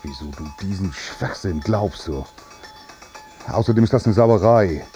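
A man's voice: deep voice, animated, measured pace, warm tone, faint music behind. An actor playing an irritable, exasperated man who grumbles and curses about faulty technology in a naturalistic radio drama. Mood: angry.

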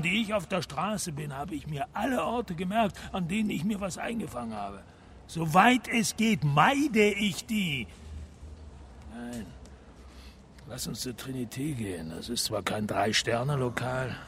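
A man's voice: a little high, lively intonation, measured pace, a bit bright, a little hiss or hum. An actor playing a cheeky, grumbling homeless man with a coarse, sardonic manner in a radio drama.

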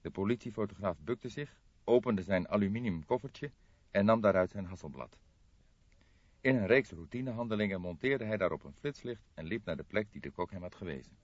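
A man's voice: lowish voice, lively intonation, a little fast. A man narrating a crime novel as a scripted, dramatic audiobook reading. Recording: roomy echo, slightly muffled audio.

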